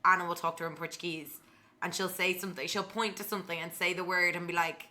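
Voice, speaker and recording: A woman's voice: on the low side, steady tone, quick pace, sharp tone. A woman co-hosting a casual podcast, chatting informally and inquisitively. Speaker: podcast co-host. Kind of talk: casual chat.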